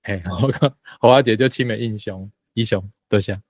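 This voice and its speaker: A man with a Taiwanese accent sharing personal impressions as a discussion guest, in a halting, informal, wordy way. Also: fairly low pitch, a little mellow, no echo.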